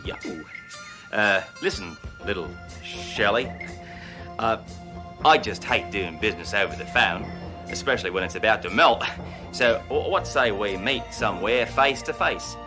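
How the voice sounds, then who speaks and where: A man's voice: fairly low pitch, expressive, a little slow, music in the background. A man putting on a blustering fake-Aussie tycoon. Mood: flirty. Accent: put-on Australian. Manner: loud swaggering bluster. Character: brash fake-Australian male tycoon, boastful.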